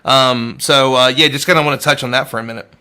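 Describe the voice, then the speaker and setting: A man's voice: medium pitch, steady tone, fast talker, bright, forceful tone. A man hosting a live online panel, talking earnestly and rambling with many restarts. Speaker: host. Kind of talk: casual livestream chat.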